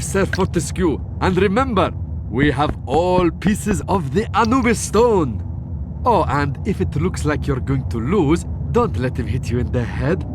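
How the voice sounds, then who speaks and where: An actor playing an excitable, self-interested ally in a fantasy video game, eager and earnest with a cheeky streak. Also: male voice, a little high, background noise. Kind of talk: video game cutscene acting.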